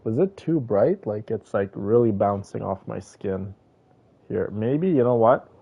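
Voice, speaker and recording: A man's voice: on the low side, animated, measured pace, creaky, husky. A man hosting a casual livestream, chatting familiarly with viewers as if they were friends. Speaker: livestream host. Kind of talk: casual livestream chat, reading names and greeting viewers. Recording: dull lo-fi audio with music behind. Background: background music.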